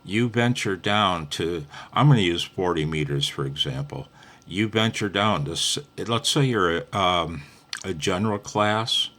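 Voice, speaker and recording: A man's voice: deep voice, measured pace, a bit bright. A man giving a casual, unscripted hobby tutorial in a rambling, folksy, jokey manner. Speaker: amateur radio hobbyist giving beginner tips.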